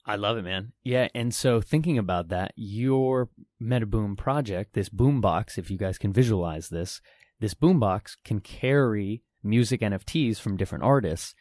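A man's voice: on the low side, a little mellow, no room sound, clean studio sound. A man hosting a podcast interview, effusive and enthusiastic. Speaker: podcast host.

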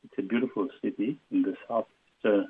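A man from Haiti, a historian answering interview questions in a halting way with many fillers and restarts. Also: on the low side, fairly even intonation, breathy edge. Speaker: guest, historian and literature professor. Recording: phone line.

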